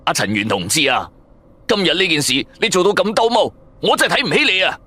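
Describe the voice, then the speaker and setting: A man's voice: high voice, fast, breathy edge, pitched above the performer's own voice, heavily acted. A man voicing an indignant, mouthy young male sidekick who whines and protests in a Cantonese radio drama. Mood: scornful, aggrieved. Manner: whining, animated, protesting.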